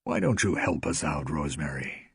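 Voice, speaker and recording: A man voicing a smooth, persuasive coven leader who proclaims and coaxes in a low, husky voice. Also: sing-song, normal speed, no room sound, clean studio sound, full character voice. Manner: commanding, coaxing.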